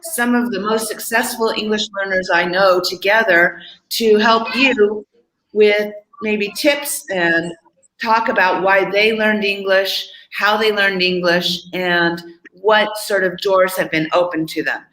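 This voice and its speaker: A woman's voice: mid-range voice, steady tone, takes it slowly, sharp tone. A woman from Oregon, a casual moderator and English coach, chatting relaxedly with self-corrections over a video call. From Oregon, United States. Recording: echoey room with faint noise.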